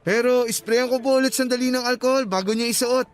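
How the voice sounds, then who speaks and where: A polite young rider, very high voice. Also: male voice, fairly even intonation, fairly quick. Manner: courteous, deferential. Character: polite young male motorcycle taxi rider. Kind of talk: radio drama acting.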